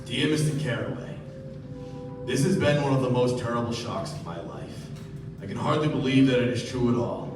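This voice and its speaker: A man's voice: medium pitch, moderate pace, over music, natural voice. A man playing a slippery, self-important older underworld businessman in a live radio play, with emphatic, colloquial delivery. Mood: formal, evasive. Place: live stage performance. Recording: echoey distant stage, background noise.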